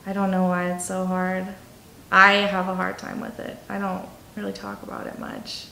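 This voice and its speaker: A woman of twenty-three speaking candidly and haltingly about personal things in a documentary interview. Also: medium pitch, completely flat delivery, vocal fry, bright, forceful tone, a little hiss or hum. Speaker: documentary interviewee, nursing student. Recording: roomy echo with music behind. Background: instrumental music.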